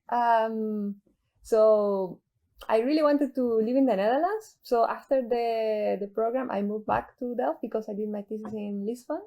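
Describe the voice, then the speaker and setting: Chilean woman, gravelly higher voice. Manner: laughing, relaxed. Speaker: alumna, groundwater center staff. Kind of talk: casual self-introduction.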